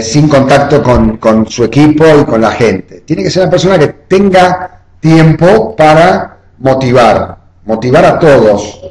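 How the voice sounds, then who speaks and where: A man's voice: medium pitch, darkish tone. Man lecturing in a roomy echo. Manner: explanatory, often adds "o sea" and "¿eh?". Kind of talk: online class lecture.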